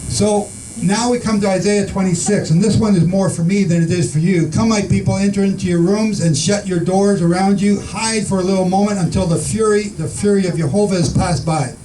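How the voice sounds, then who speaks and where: Male Bible teacher, high and mellow, reads scripture and quizzes the group, recorded from a distance. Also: a little fast, few pauses, a little hiss or hum. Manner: reads scripture aloud and questions the listeners. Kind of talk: informal Bible study teaching. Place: room with a small group in the Philippines.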